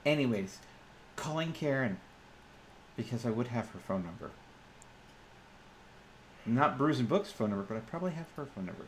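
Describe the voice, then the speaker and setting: A man's voice: mid-range voice, animated, normal speed, long pauses, slight background noise. A man improvising in character during a tabletop roleplaying game, speaking hesitantly and often repeating or restarting his phrases.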